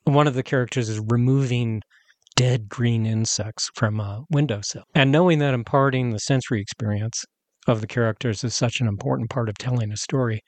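A man interviewing an author, asking long, measured questions in a formal way with small stumbles. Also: medium pitch, no room sound.

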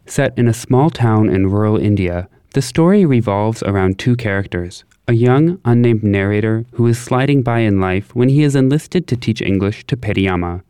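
A man hosting a podcast with a measured, polished delivery, reading a scripted introduction and then asking interview questions. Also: fairly low pitch, a little mellow, clean tone, dry recording, studio quality.

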